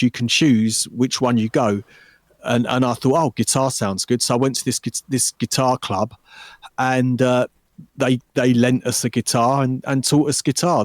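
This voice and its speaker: A man who is a guest musician, talking in a thoughtful, measured way with many restarts. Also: medium pitch, dry recording, clean studio sound. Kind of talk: casual interview chat.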